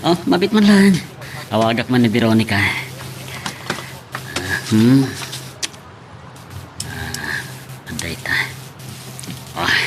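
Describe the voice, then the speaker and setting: A man's voice: lowish voice, huge pitch swings, slow pace, long pauses, background noise, exaggerated voice. A man playing a mild, evasive young boyfriend in an Ilocano radio drama, speaking hesitantly with a Filipino accent.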